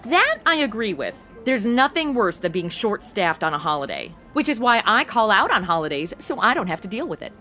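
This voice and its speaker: A woman's voice: medium pitch, big pitch swings, brisk, hardly any pauses, pushed and bright, soft background music. A woman playing a sarcastic, blunt modern sidekick in a comedy audio drama, talking casually. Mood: sardonic. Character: snarky modern young woman tagging along as sidekick. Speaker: show's lead and host.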